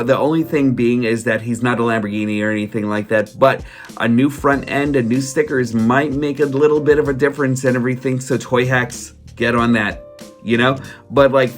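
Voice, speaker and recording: A man talking casually to his viewers in a vlog, hoarse from a cold, rambling with lots of fillers and restarts. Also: medium pitch. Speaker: toy collector vlogger. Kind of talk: casual solo vlog chat.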